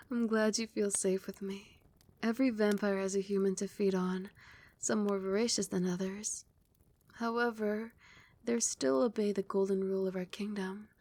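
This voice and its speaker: Vampire queen, played by a female performer: regal, doting and possessive, ASMR roleplay, hushed and soothing with breathy whispers. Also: mid-range voice, steady tone, a little slow. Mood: adoring, teasing. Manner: soft, soothing, often dropping into breathy whispers.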